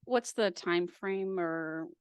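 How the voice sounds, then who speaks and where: A woman's voice: lowish voice, slow, clean tone, no echo, clean studio sound. A woman on a county committee, asking questions about a proposal's timeline in a concerned way. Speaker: committee member.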